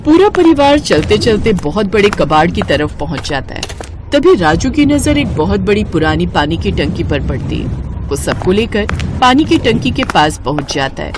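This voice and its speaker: A woman's voice: medium pitch, sing-song, moderate pace, noisy background. A woman reading a scripted story as its narrator.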